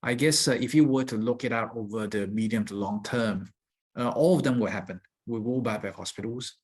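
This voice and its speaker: A man speaking as a company executive, giving measured, explanatory answers on an earnings call. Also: fairly low pitch, darkish tone.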